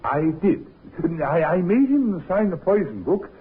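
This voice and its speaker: Casting: timid shopkeeper witness, high and very husky, halting and deferential, vintage radio drama. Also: male voice, sing-song, exaggerated voice. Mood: nervous, hesitant. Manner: hesitant, stammering, deferential. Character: nervous, deferential chemist's shop owner, hesitant male witness. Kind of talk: 1950s radio drama acting. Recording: thin, tinny 1950s radio recording.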